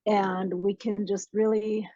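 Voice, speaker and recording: Woman, mid pitch, flat delivery, enthusiastic and grateful; calling in from an airport on a phone, dry dull sound. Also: slow pace, no room sound. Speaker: meditation call participant. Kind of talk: casual spoken update.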